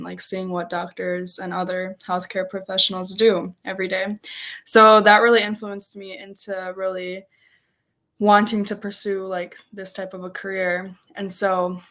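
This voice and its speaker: A woman in her twenties, a native Polish speaker, answering interview questions as a student in a halting, conversational way with frequent filler "like". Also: mid-range voice, completely flat delivery, a bit bright. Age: 20s. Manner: hesitant, peppered with "like". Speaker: interview guest, first-year medical student.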